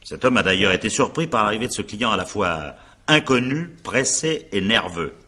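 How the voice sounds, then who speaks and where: A sardonic examining magistrate in a radio mystery. His voice is very low yet swoops widely, with a sharp, forceful edge. He probes with irony and drops into muttered asides. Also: animated, moderate pace, slightly rough, exaggerated voice. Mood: pressing, dry. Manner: probing, ironic, digressive, muttering asides. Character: shrewd, sardonic examining magistrate questioning a suspect. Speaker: actor in a radio mystery drama. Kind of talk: French radio drama acting, naturalistic interrogation.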